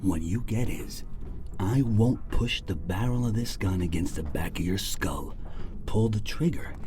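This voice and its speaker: An actor playing a volatile, menacing armed carjacker in an audio drama, sneering, swearing and erratic. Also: male voice, low-pitched, a little slow, background noise. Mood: taunting, threatening.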